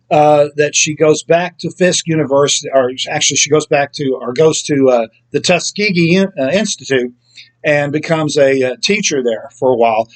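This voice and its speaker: A man's voice: a little high, rough recording. A man hosting his own book review show, talking through an author's life off the cuff, with frequent ums and uhs and corrections along the way. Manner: unscripted, many ums and uhs, self-corrections. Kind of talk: casual spoken book review.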